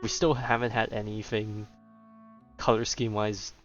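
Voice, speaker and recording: A man's voice: lowish voice, little pitch movement, moderate pace. A man giving casual, offhand commentary as he streams a game, with wry reactions. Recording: dull, muffled lo-fi microphone. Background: game music.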